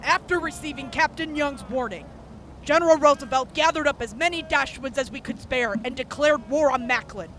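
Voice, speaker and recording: A woman's voice: sits high, moderate pace, fairly bright tone, a little hiss or hum. A woman playing a welcoming, confident mercenary officer in an audio drama. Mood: brisk, assured.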